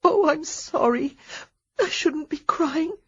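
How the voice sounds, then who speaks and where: A breathy, tender young woman from a storybook, nervous and hopeful, chattering with a slight tremble, as a female narrator puts her on. Her voice sits higher than the narrator's and swings up and down. The recording sounds dull and muffled. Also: expressive, moderate pace, a little mellow. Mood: tearful relief. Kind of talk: audiobook character voice.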